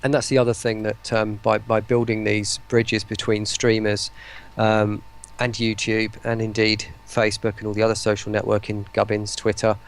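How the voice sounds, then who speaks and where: A British man with a gravelly voice chatting casually as a guest on a livestream, rambling and self-deprecating. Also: on the low side, completely flat delivery, moderate pace. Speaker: interview guest, voice pack maker. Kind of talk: casual livestream interview chat.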